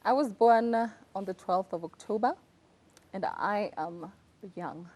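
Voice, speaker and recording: Woman co-host, medium pitch, laid-back, coy, short answers. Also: a little slow. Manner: relaxed, coy, brief answers. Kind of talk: casual chat.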